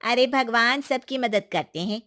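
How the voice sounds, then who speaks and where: A woman's voice: fairly high pitch, measured pace, a bit bright, dry recording, clean studio sound. A woman voicing a kindly, secretive young village woman in a cartoon, speaking in an emphatic, reassuring, colloquial way. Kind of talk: Hindi moral-story cartoon dialogue.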